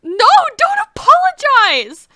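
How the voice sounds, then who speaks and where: A young woman streamer reacts casually to a video game. Her voice is high and bright, with big swings in pitch. She is excitable and theatrical in how she responds. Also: slow, no echo. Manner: excitable, dramatic, with outbursts and mock wailing. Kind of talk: casual gameplay reaction commentary.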